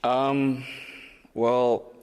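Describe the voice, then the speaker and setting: A man from Zimbabwe, a guest entrepreneur, telling his story in a halting, conversational way with many restarts. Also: medium pitch, flat delivery, a little mellow, clean tone, long reverb tail.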